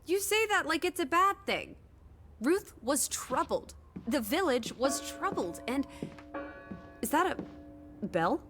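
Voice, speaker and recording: A woman's voice: high voice, very animated, takes it slowly, pauses often, fairly bright tone, soft background music, heavily acted. An actress playing a bold, imaginative young female companion in a video game, with a playful, teasing, theatrical delivery. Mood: curious, earnest. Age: young adult. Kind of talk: dramatic video game dialogue.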